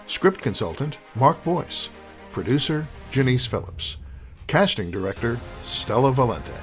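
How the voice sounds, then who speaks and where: Lively male announcer over soft music. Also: mid-range voice. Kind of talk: scripted narration, show outro.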